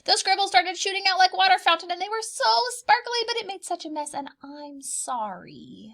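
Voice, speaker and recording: A woman voicing an excitable, emphatic young girl from a children's picture book. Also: very high-pitched, normal speed, pitched above the performer's own voice, full character voice. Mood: breathless explaining. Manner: emphatic, dramatic storybook child. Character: excitable young girl telling about her pet unicorn.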